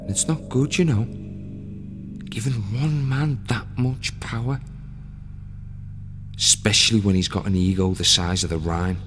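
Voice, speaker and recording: A bitter, brooding dead crewman speaks slowly in a lowish voice, a husband and father grieving what he lost. Every line comes unhurried and heavy as the radio drama lets it sink in. Music plays clearly beneath his words. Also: fairly even intonation, fairly bright tone. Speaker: actor in an audio play monologue. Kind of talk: scripted dramatic monologue.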